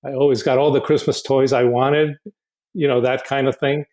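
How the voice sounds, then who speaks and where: A man in his sixties from Honduras, a podcast guest who speaks in a halting, reflective way, often restarting and repeating words. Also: mid-range voice, little pitch movement, a little mellow. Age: 60s. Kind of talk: casual podcast interview.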